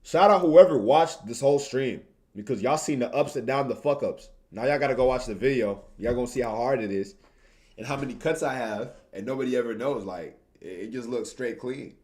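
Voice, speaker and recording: A man's voice: medium pitch, brisk, a little mellow. A young man from Tanzania chatting casually on a livestream in informal slang, repeating phrases for emphasis. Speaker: streamer and video creator.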